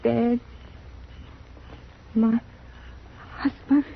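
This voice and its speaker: An actress playing a fierce, grieving widow in an old radio western, speaking in halting, broken phrases. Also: female voice, fairly high pitch, steady tone, creaky, heavily acted. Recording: noisy, muffled old radio recording.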